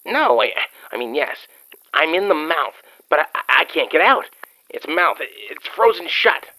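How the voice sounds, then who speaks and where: A man playing a panicky trapped scientist, strained and halting, in an audio drama. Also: a little high, big pitch swings, pushed and bright, full character voice, rough recording. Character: panicky trapped male scientist, reporting from inside a giant creature.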